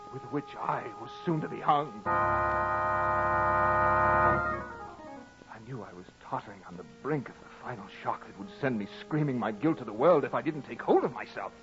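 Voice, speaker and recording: An actor playing a panicked, guilt-ridden murderer, narrating a horror radio drama in a melodramatic way with dramatic pauses. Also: male voice, medium pitch, natural voice. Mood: fevered, paranoid. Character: guilt-ridden, panicked male murderer confessing his crime. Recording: muffled vintage radio recording with music.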